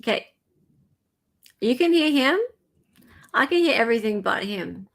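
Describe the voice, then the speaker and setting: A woman's voice: on the high side, animated, measured pace, vocal fry, fairly bright tone. An Australian woman hosting a casual live stream, chatting in an informal, scattered way.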